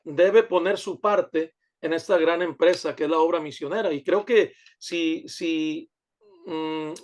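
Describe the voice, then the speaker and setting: A man's voice: fairly high pitch, steady tone, unhurried. A man pastor from the United States, a guest in an interview, speaking earnestly with many fillers and restarts. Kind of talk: conversational interview.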